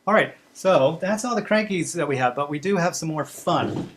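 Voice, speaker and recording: A man's voice: on the high side, animated, moderate pace, fairly bright tone, a little hiss or hum. A man from Boston with a Boston accent, leading a family folk music workshop on a video call with easy laughs and lots of fillers. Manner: chatty, laughs easily, many fillers. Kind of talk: casual online workshop talk.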